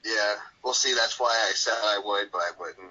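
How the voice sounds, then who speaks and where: Hesitant guest man, bright, lo-fi. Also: fairly low pitch, moderate pace, breathy edge. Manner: hesitant, stumbling. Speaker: phone-in guest. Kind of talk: casual chat.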